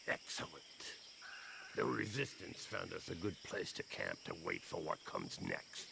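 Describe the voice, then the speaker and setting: Very gravelly orc commander. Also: male voice, deep voice, huge pitch swings, a little slow, noisy background, full character voice. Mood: stern, wary. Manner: curt, commanding. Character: stern, wary male orc squad leader. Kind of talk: video game cutscene acting. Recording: loud outdoor night ambience with insects.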